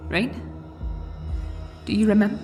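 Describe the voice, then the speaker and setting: A woman's voice: medium pitch, steady tone, unhurried, darkish tone, lightly acted. A woman acting a devoted, worried friend in a fantasy audio drama, speaking earnestly and carefully. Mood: urgent, worried. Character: Nadia, devoted, worried magical female friend. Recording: music under the voice.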